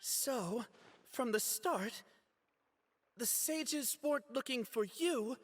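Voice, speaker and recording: A man's voice: extremely high pitch, animated, slow pace, big-room sound, exaggerated voice. An actor playing a proud, easily flustered young male student scholar in a video game, hesitant, earnest and defensive. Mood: puzzling, flustered. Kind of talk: dramatic game character acting.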